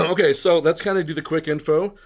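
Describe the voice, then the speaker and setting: A man hosting a podcast interview in casual chat, a little hoarse from bronchitis, rambling and often clearing his throat. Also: a little high, fairly quick. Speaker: podcast host.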